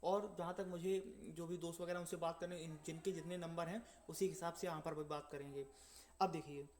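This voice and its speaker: एक युवा पुरुष, परीक्षा तैयारी चैनल का होस्ट, दर्शकों से अनौपचारिक अंदाज़ में बात करते हुए, रुक-रुक कर और अंकों को दोहराते हुए समझाता है।. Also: male voice, a little high, steady tone, brisk, a little mellow. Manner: explanatory, repeats numbers, frequent fillers. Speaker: exam-prep channel host. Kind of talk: casual talk to viewers.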